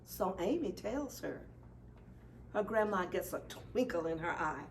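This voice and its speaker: A woman reading a children's picture book aloud at story time, expressive and deliberate. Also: mid-range voice. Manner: deliberate, animated. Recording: distant mic, faint background noise.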